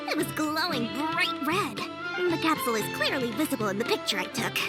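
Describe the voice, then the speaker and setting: A woman voicing a small, shrewd female merchant in a game, theatrically polite with flattering sales patter. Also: sits high, fairly quick. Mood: sly, businesslike. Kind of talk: video game cutscene acting. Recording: music behind the voice.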